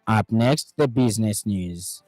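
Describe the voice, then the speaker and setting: A man with a Zambian accent reading a scripted radio news bulletin in a formal, measured way. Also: fairly low pitch, over music.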